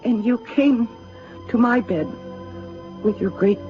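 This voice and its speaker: A woman acting a gentle, earnest, bewildered young woman in an old-time radio drama, with theatrical delivery. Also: a little high, slow. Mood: entranced, uneasy. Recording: muffled vintage radio drama recording. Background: music.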